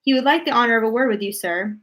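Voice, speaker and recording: A woman voicing an excitable, folksy male astronaut from a storybook, gushing as he reports. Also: a little high, fast talker, natural voice. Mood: awed. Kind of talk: storybook read-aloud character voice.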